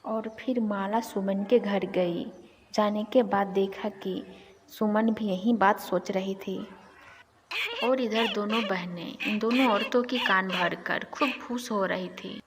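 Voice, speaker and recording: A woman's voice: medium pitch, steady tone, takes it slowly, a little hiss or hum. A woman narrator reading a scripted moral bedtime story for an animation.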